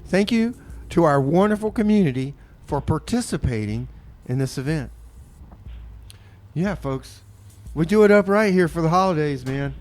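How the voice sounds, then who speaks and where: A man's voice: a little high, lively intonation, moderate pace, a little mellow. A man hosting a community radio show from the studio, reading announcements and chatting in a laid-back way, calling his listeners folks. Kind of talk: casual radio banter and announcements.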